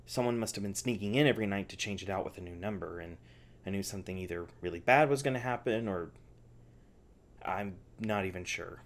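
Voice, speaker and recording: A man playing an anxious, defiant scientist held captive in an ASMR audio drama, halting and sweary. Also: low-pitched. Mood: uneasy, explaining.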